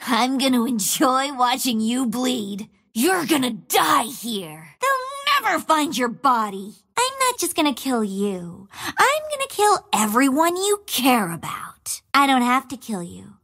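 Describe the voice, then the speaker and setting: A woman's voice: high-pitched, very animated, unhurried, few pauses, a bit bright, full character voice. An actress playing a cunning young female assassin in a game, taunting her foes with playful menace and relishing every kill. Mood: menacing. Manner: gleeful, teasing, relishes killing. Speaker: video game character. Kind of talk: game voice lines. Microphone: studio mic.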